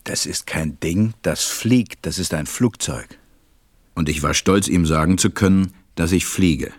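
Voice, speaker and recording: A man playing a serious stranded pilot who narrates his story in a measured, deliberate way in an audio drama. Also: deep voice, no echo. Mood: serious, reflective. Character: stranded adult male pilot, serious narrator recalling events.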